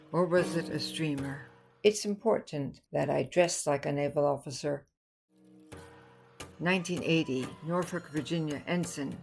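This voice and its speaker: Older woman, American accent, gravelly fry, spoken-word poem, short measured phrases, light music underneath. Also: low-pitched. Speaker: poet reading own work. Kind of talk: spoken-word poetry reading. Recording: music behind the voice.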